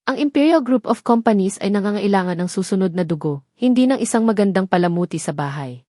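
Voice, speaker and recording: A woman's voice: medium pitch, animated, brisk, warm tone, no room sound, studio quality, natural voice. A woman narrator voicing a stern, cutting eighty-year-old family matriarch in a storybook drama, cold and imperious. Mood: cold reproach.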